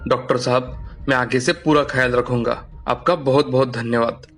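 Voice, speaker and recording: A man's voice: medium pitch, fairly even intonation, moderate pace, fairly bright tone, faint music behind. A man voicing a dutiful, worried young husband in a cartoon story. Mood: worried, respectful.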